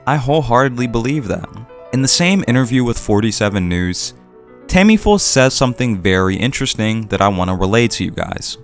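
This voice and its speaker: A man's voice: medium pitch, big pitch swings, a little fast, soft background music. A man narrating a scripted opinion video essay as a channel host, with earnest, emphatic phrasing.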